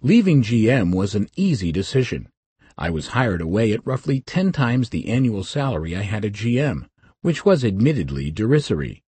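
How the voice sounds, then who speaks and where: Older Swiss man, memoir reader, dry measured delivery, mellow tone, dry close sound. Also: on the low side, slightly rough, dry recording. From Switzerland. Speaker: memoir narrator. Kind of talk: scripted first-person narration.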